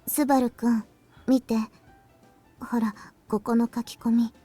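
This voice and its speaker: A quiet teenage schoolgirl from a visual novel , acted by a woman, speaks in a soft, understated mutter. Her voice is fairly high yet very mellow and relaxed, with faint music behind it. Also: moderate pace, close to an everyday voice. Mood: quiet, matter-of-fact. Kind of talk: Japanese visual novel voice acting. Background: faint game music.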